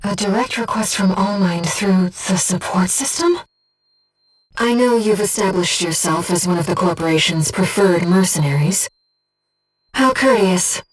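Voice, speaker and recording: A woman voicing a gentle, earnest, disembodied female companion in a video game, guiding a pilot with composed, precise callouts and briefings. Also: lowish voice, measured pace, slightly rough, far from the mic, low-quality recording. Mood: composed, informative. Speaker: mission support companion.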